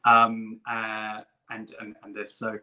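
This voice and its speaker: Chatty guy on a video call, bright forceful voice, keeps tripping over his words. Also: lowish voice, monotone, slow pace, poor audio. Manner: eager, rambling, fan-like storytelling with restarts. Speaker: guest puppeteer and performer. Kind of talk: casual chat.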